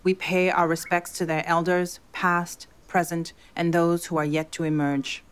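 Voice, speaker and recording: A woman hosting a podcast, reading scripted documentary narration in a measured, earnest way. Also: fairly low pitch, little pitch movement, clean tone.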